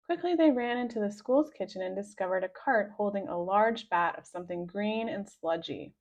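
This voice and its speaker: A woman reading aloud a children's story with expressive scripted narration, on a slightly dull recording. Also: medium pitch, moderate pace. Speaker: storybook reader.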